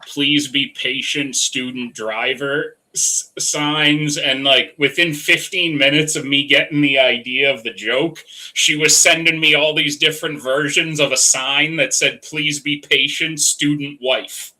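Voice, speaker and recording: Bright animated joking man. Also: on the high side, a little slow, hardly any pauses, poor audio. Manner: animated storyteller, swears, jokes about his wife. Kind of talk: casual chat.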